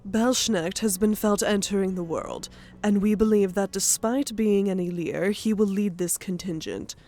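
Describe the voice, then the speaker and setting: A woman's voice: mid-range voice, normal speed, mellow, faint music behind, close to an everyday voice. A woman playing a proud, grudging warrior goddess with a blunt manner in an audiobook drama. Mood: grave briefing. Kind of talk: audiobook character acting.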